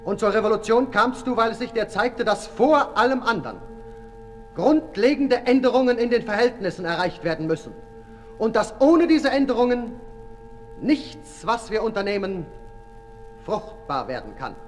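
An actor in a 1960s German radio play, playing a grave orator who declaims solemnly and rhetorically. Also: male voice, high voice, sing-song, normal speed. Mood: impassioned. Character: grave male orator defending a misunderstood revolutionary thinker. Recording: reverberant 1960s radio recording with music.

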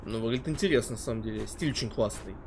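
A man's voice: mid-range voice, very fast, vocal fry. Young man reacting casually, noisy background. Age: young adult. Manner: casual, swearing, searching for words. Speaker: reaction streamer. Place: indoor streaming setup.

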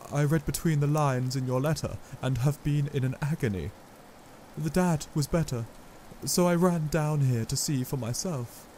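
A man doing a desperate young gentleman. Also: a little high, normal speed, slight background noise, voice pushed up, lightly acted. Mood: anxious. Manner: breathless, pleading. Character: eager anxious young gentleman lover.

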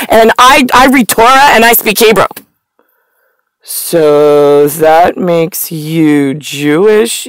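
A woman's voice: on the high side, dry recording, low-quality recording. A woman in her forties rambling to camera in a blunt, sardonic, stream-of-consciousness monologue recorded up close inside a car. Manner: blunt, sardonic, profane, animated hand gestures. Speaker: vlogger. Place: inside a parked car at night.

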